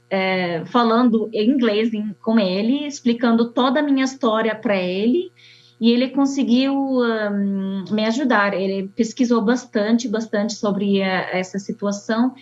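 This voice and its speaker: A young woman from Syria with an Arabic accent, an architect telling her story slowly and haltingly, with many fillers. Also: a little high. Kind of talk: personal testimony. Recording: dull lo-fi sound.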